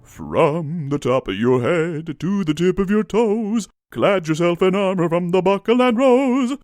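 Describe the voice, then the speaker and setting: A man's voice: high-pitched, animated, measured pace, darkish tone, no echo, studio quality, faint music behind. A man playing an announcer who recites a rhymed slogan for a radio ad. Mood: grand. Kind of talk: rhyming ad tagline.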